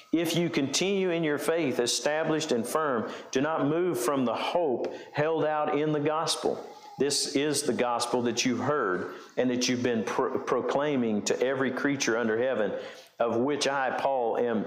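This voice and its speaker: A male pastor preaching a conversational sermon, explaining scripture with frequent restarts and fillers. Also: mid-range voice. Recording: echoey room.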